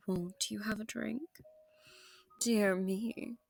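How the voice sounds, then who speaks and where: A woman's voice: medium pitch, steady tone, slow, vocal fry, a little mellow, a little hiss or hum. A woman playing a seductive, sadistic vampire noble in an intimate audio roleplay, genteel and teasing with menace, often sinking into whispers. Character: seductive, sadistic, possessive female vampire noble hosting her foe.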